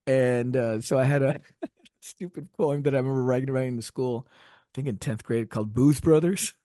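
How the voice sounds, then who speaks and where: A man's voice: medium pitch, normal speed, warm tone, dry recording, studio quality. A middle-aged man from Texas whose first language is Spanish, telling stories as a podcast guest, rambling and laughing often. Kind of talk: casual interview storytelling.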